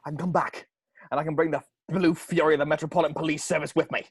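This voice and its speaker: A man's voice: fairly high pitch, steady tone, fast talker, breathy edge. A man reading an earnest, urgent part in an amateur table read over a video call.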